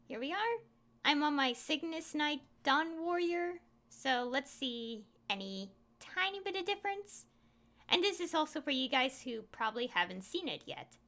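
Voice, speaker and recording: A woman's voice: high voice, a bit bright. A woman giving casual, offhand game commentary, chatting informally to her viewers. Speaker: gaming video creator.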